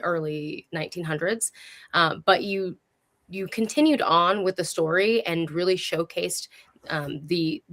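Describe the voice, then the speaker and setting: Low-voiced woman hosting a conversational interview. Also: measured pace, a bit bright. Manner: appreciative and encouraging. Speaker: host.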